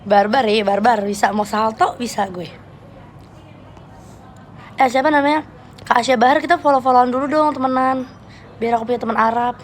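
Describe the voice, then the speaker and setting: A young woman with a Jakarta Betawi slang accent chatting casually with viewers on a live stream, playful and quick to laugh. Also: a little high. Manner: chatty, playful, laughs often, switches registers.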